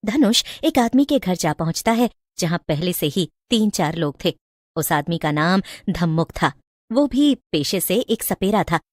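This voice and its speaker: A woman's voice: on the low side, expressive, a little slow, no room sound, studio quality. A woman storyteller reading a scripted moral tale in a dramatic narrating style.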